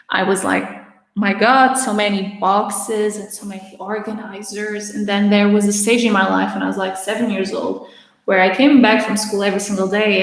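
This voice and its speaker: A raspy-voiced woman in her twenties chats through viewer questions as a livestream host, restarting her sentences now and then. Her voice sounds a little strained, and it rings out in a roomy space with a long reverb tail. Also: medium pitch. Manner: chatty, with frequent restarts and laughter. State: having trouble speaking. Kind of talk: casual Q&A livestream.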